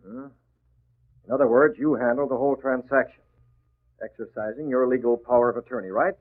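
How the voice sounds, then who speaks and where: An actor playing a tough, sardonic masked vigilante in 1940s radio drama style, speaking in clipped, menacing phrases. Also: male voice, mid-range voice, fairly quick, natural voice. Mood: sardonic, cool. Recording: muffled, narrow-band old radio broadcast.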